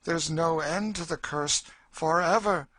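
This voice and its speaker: Man voicing a bitter woman, raised and forceful. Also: a little high, unhurried, no echo, pitched above the performer's own voice. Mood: despairing. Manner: dry, emphatic, fatalistic. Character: bitter, despairing, world-weary enslaved woman. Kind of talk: audiobook character acting.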